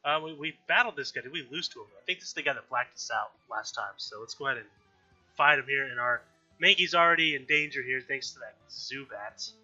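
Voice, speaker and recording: Bright nasal man narrating gameplay. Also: mid-range voice, fairly quick. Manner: chatty, frequent self-corrections and throat clearing. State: slightly nasal and dry-throated from allergies. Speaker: gaming video host. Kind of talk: casual let's-play commentary. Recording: dull lo-fi audio with faint background music.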